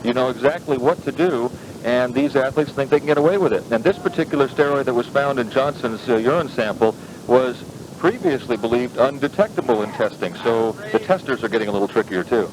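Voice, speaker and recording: Over a noisy, rumbling 1980s radio link, an American man files a live sports report. He is a correspondent with a mid-range voice. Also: lively intonation, a little fast.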